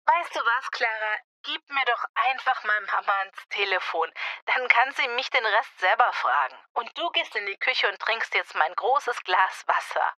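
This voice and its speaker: A woman plays a grown daughter, affectionate yet a little impatient, in a language-learning dialogue. Her voice is bright, crisp-edged and fairly high. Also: expressive, moderate pace, no echo, rough recording. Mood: cheerful.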